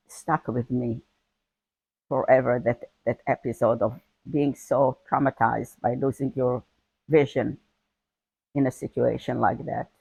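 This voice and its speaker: Gravelly older woman from Hungary. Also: a bass voice, darkish tone, no room sound, low-quality recording. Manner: halting, repeats words while recalling. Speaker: interviewee. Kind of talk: personal testimony.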